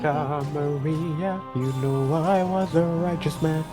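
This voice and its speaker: A man's voice: fairly high pitch, takes it slowly, over music. Trinidadian-New York streamer man chatting. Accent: Trinidadian and New York mix. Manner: chatty, laughs often. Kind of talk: casual stream chat.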